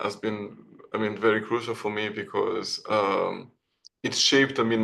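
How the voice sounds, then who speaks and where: Male guest author. Lowish voice with heavy fry, conversational, hesitant, wandering answers. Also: fairly bright tone. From Italy. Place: online video call.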